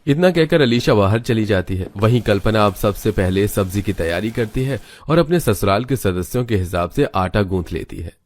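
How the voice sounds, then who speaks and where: Male story narrator for a cartoon tale, low register, scripted delivery. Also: expressive, moderate pace, hardly any pauses, darkish tone, soft background music.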